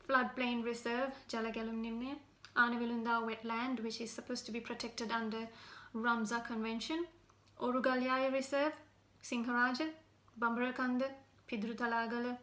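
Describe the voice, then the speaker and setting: A woman from Sri Lanka with a Sri Lankan accent, delivering an earnest, critical open message in halting, direct address. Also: a little high, pushed and bright. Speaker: citizen activist giving an open message. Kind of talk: direct spoken address to camera, semi-prepared. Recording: echoey room sound.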